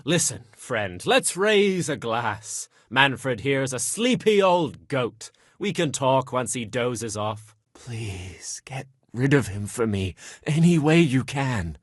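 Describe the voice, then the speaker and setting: A man's voice: medium pitch, big pitch swings, slow pace, slightly rough, dry recording, exaggerated voice. Dramatic, self-pitying young nobleman, dry close sound. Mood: grumbling, confiding. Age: young adult. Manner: dramatic, complaining, colloquial and foul-mouthed. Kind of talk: video game character dialogue.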